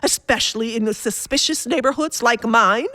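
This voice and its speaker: A woman's voice: a little high, pushed and bright, no room sound, studio quality. A woman playing an anxious, demanding bedridden wife in a suspense radio play, fretful, imperious and theatrical in manner. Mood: anxious pleading. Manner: fretful, imperious, theatrical, halting then insistent.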